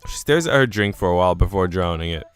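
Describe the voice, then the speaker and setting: Man, low voice, lively swooping intonation, visual novel narration, music behind. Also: fast talker. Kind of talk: story narration, reading dialogue aloud.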